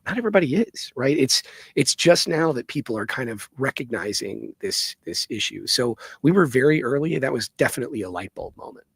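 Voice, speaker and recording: A man's voice: medium pitch, sing-song, fairly quick, vocal fry, hardly any pauses, fairly bright tone, breathy edge, dry recording. A man answering audience questions as a guest in an earnest, emphatic, conversational way, often checking in with "right?". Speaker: guest, startup co-founder.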